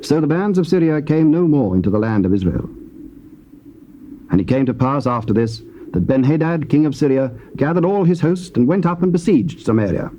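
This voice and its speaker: A man narrating scripture in a measured, stately reading. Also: a little high, expressive, warm tone. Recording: faint tape hiss.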